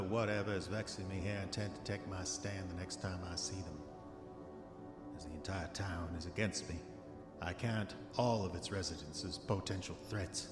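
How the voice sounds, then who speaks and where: Male actor as unhinged, suspicious homeowner for horror audio drama; low register, old-fashioned muttered phrasing, echoing room. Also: lightly acted. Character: paranoid, gold-obsessed homeowner descending into madness. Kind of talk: horror audio drama acting, journal narration. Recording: roomy echo, music underneath.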